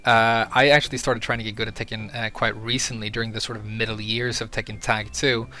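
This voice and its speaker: A man's voice: on the low side, level tone, fairly quick, pushed and bright, soft background music. A young man from Sweden talking candidly to camera as a video creator, earnest and a little hesitant with fillers. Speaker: YouTuber. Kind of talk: casual talk to camera.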